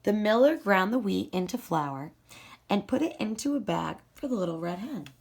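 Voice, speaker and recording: A woman reading a children's storybook aloud in a deliberate, emphatic, teacherly storytelling style. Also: mid-range voice, animated, darkish tone.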